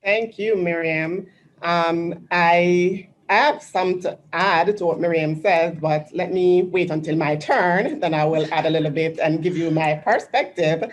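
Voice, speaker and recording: A woman's voice: fairly low pitch, unhurried, slight background noise. A woman from Jamaica moderating a virtual panel on a video call, friendly and conversational.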